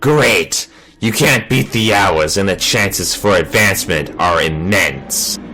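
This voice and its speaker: An actor playing a smooth, tactless television talk show host in an animated comic. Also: male voice, sits low, slow, slight background noise, heavily acted. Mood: breezy.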